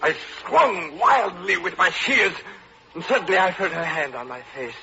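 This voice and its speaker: An actor playing a haunted, obsessive middle-aged murderer in an old radio horror play, halting and breathless in delivery. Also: male voice, sits high, lively intonation, creaky, slight background noise, exaggerated voice. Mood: haunted, feverish. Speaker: first-person narrator and lead actor. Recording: muffled vintage radio recording, loud organ music.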